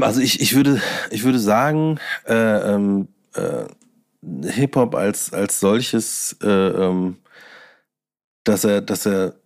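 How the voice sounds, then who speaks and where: Man, mid-pitched, casual interview talk, youthful slang, halting, animated. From Bavaria, Germany. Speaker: guest, hip-hop DJ and workshop teacher.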